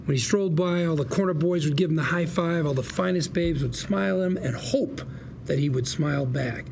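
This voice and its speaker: A man's voice: on the high side, fairly quick, gravelly, a little mellow, a little hiss or hum. An actor playing a scheming, sarcastic lawyer with a folksy salesman's patter at a script table read. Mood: storytelling, wistful. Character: scheming, sarcastic younger brother, a slick fast-talking lawyer.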